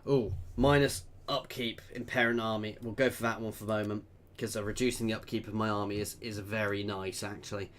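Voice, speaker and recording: A man's voice: lowish voice, fairly even intonation, fairly quick, hardly any pauses, fairly bright tone, slight background noise. A man giving casual commentary while playing a strategy game, thinking aloud and chatting with viewers. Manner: chatty, thinking aloud, reads out chat messages. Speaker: gameplay video creator.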